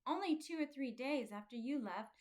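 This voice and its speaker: Woman as thoughtful young storybook pilgrim boy, high voice. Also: lively intonation, moderate pace, a little mellow. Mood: earnest, chatty. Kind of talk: children's storybook character voice.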